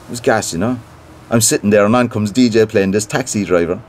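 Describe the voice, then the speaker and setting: A man's voice: on the low side, brisk, lightly acted. An actor playing a chatty, eager, gossipy local man with a Cork Irish accent in a radio drama. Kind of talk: naturalistic radio drama dialogue. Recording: faint ambient noise behind.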